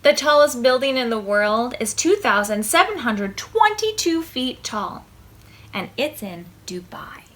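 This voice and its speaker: A woman hosting a preschool storytime, reading a picture book aloud with deliberate, expressive phrasing for small children. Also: fairly high pitch, a little hiss or hum.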